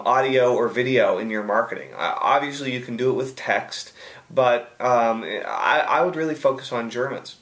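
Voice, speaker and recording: A man's voice: medium pitch, a bit bright. A man talking casually straight to the camera, rambling and chatty, often restarting his sentences. His voice sits in the middle range, and the recording has a roomy echo. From United States. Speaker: host answering viewer questions. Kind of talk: casual video advice.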